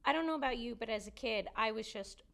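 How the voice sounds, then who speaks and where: Chatty woman guest, slightly high voice. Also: fast talker, gravelly, fairly bright tone. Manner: casual, lots of filler likes. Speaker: comedian guest. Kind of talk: casual chat.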